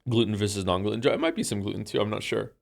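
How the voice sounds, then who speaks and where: A man around forty talks through a live game stream, his voice a touch low and thick with congestion. He rambles in a low-key way, full of ums, restarts and mild jokes at his own expense. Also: lively intonation, racing, creaky. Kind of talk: casual live-stream chat.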